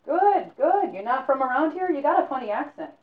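A woman voicing a loud, chatty male tavern keeper in a told story, raised high and eager, over a tinny, echoey line. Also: a little fast, distant mic. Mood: excited. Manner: loud, excitable and talkative. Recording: echoey, phone-like sound.

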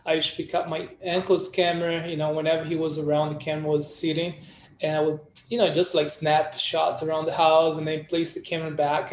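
A man from Brazil, a guest photographer telling stories in a casual interview, with a relaxed style and lots of "you know" fillers. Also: a little high, echoey room, slight background noise.

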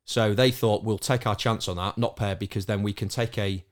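Man, fairly low voice, casual explainer, matter-of-fact, podcast panel chat. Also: fairly even intonation, moderate pace.

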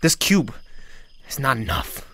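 A man's voice: medium pitch, expressive, a little slow, pushed and bright, no echo. A man playing a paranoid, petulant crowned ruler in a comic roleplay, stammering and repeating himself as he gives orders. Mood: flustered, bossy. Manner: stammering, bossy, repeats words when flustered. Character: petulant, paranoid male crowned ruler Bobby, bossy and flustered.

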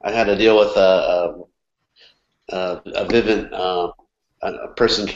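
Male co-host, low-pitched, nasal and stuffy from a cold, rambly delivery full of "uh"s, echoey dull recording. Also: steady tone, unhurried. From California. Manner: rambling with many fillers and restarts. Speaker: podcast co-host. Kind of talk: casual chat. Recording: echoey room, dull lo-fi sound.

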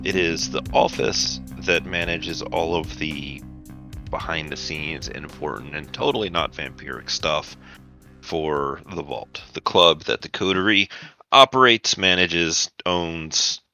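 A man's voice: sits low, slow pace, bright, forceful tone, faint music behind. A man narrating a tabletop roleplaying game as the game master, setting scenes and calling for rolls.

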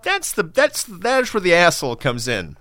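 Bright, animated, blunt-talking man. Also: high voice, moderate pace, dry recording. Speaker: podcast co-host. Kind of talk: casual chat about a film.

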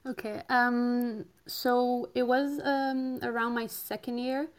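A young woman in her twenties with a slightly high voice, from Portugal and a native Portuguese speaker, chatting casually as a podcast guest. She feels her way through each answer with frequent "um"s and pauses and laughs easily along the way. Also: little pitch movement, clear, resonant tone. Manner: hesitant, many "um" fillers, laughs easily. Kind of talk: casual interview chat.

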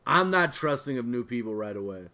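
A man's voice: medium pitch, animated, brisk, pushed and bright. A man hosting a podcast in casual chat with friends, informal and given to swearing. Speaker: podcast host.

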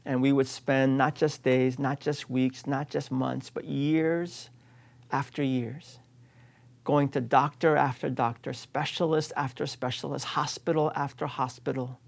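A man's voice: mid-range voice, level tone, a little slow, vocal fry. A man in his late forties from the United States, preaching a sermon as a pastor in an earnest, emphatic way, returning again and again to repeated refrains. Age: 40s.

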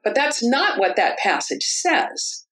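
A woman's voice: fairly high pitch, very animated, takes it slowly, fairly bright tone. A woman in a casual talk-show discussion, explaining a Bible passage in an earnest, teaching way. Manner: earnest, teaching tone with frequent restarts.

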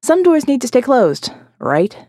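A woman's voice: sits high, very animated, unhurried, warm tone, no room sound, studio quality, heavily acted. A woman playing an anxious, guarded male maintenance worker in audio fiction, terse and ominous. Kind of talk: audio fiction character acting.

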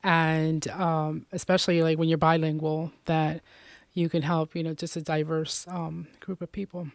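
A woman's voice: sits low, flat delivery, moderate pace, no room sound. A woman from Puerto Rico, a guest in a casual faith interview, talking in a chatty way with many fillers.

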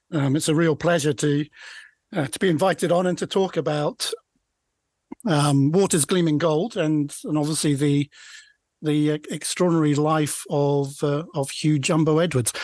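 Hesitant Scottish man, slightly high. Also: no room sound. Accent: Scottish, Aberdeenshire Doric. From Aberdeenshire, Scotland. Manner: hesitant, many fillers and restarts, self-deprecating. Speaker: author guest. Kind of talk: casual interview chat.

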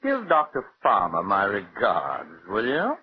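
A man's voice: lowish voice, wildly swooping pitch, unhurried. An actor playing a dying husband who suavely taunts his wife in theatrical, mock-affectionate tones, in a 1940s radio drama. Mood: mild needling. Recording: muffled, hissy old radio recording.

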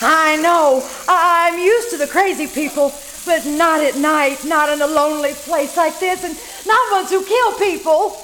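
A woman playing a frantic, pleading and menacing old woman in a radio suspense play, with breathless, halting delivery. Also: extremely high pitch, fairly bright tone. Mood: uneasy, secretive. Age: older. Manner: breathless moaning, halting repetitions, melodramatic pleading. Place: live performance at a winery. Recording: echoey, noisy live stage recording.

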